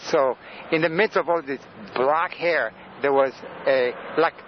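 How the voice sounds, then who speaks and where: A tired man in his sixties from Sudan, raised on Greek and Arabic, rambles and hesitates in a fairly high voice. The recording is muffled and a little lo-fi, with faint street noise behind him. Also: measured pace. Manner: rambling, hesitant, laughs often. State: tired from lack of sleep. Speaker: interviewee. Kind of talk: casual street interview.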